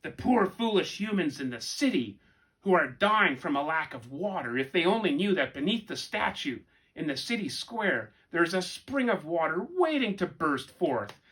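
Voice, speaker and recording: A man voicing three mocking ravens in a spoken folktale, cawing loudly and jeering at foolish humans. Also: sing-song, moderate pace, poor audio. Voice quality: loud shrill cawing, jeering.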